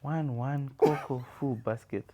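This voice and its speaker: A man from Zimbabwe with a Zimbabwean accent, chatting casually and thinking aloud in halting, repeated phrases. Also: medium pitch, fairly even intonation, mellow. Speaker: quiz contestant on a family vlog. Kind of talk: casual chat.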